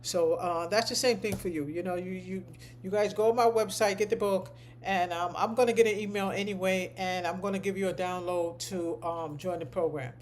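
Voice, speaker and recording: A woman with a deep voice, a medical coding instructor, chatting casually to her class on a live stream, rambling and repeating points for emphasis. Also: fairly even intonation, moderate pace, a little hiss or hum.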